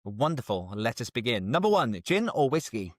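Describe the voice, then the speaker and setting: A man's voice: medium pitch, very animated, moderate pace, no echo, studio quality. A man hosting a podcast, asking questions in a casual, bantering interview style. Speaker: podcast host.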